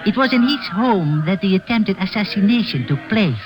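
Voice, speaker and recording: A man's voice: sits high, animated, normal speed, poor audio. A man playing an anxious, secretive Middle Eastern bazaar merchant in an old-time radio drama, speaking formally and deferentially. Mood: guarded, earnest. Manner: formal, deferential, foreign phrasing. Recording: vintage radio broadcast, background noise.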